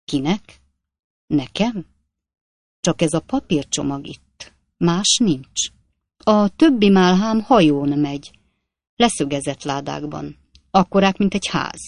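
A woman's voice: deep voice, lively intonation, creaky, long pauses, a little mellow, dry recording, heavily acted. A woman voicing a boastful, talkative male swindler who speaks in short, clipped fragments. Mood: boasting. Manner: clipped, breathless fragment sentences. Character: boastful chatty male swindler, young gent.

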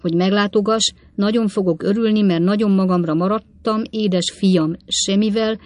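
A woman's voice: mid-range voice, fairly even intonation, a little mellow, no room sound, pitched above the performer's own voice, natural voice. A woman narrator puts on an old widowed father from a storybook. He rambles in long run-on phrases, homely yet quaintly formal. The sound is dry and close. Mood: mournful rambling. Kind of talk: audiobook letter reading in character.

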